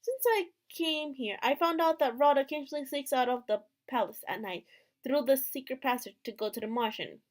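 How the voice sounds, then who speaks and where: A woman with a high-pitched voice reads story narration aloud from a visual novel. She performs it expressively as the host of a gaming video.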